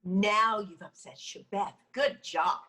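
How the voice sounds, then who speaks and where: A woman's voice: medium pitch, slow, pushed and bright. A woman playing a hot-headed, lovesick, stubborn goddess in a comedy screenplay reading, indignant and combative. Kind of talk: comedy screenplay table read. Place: online video call reading.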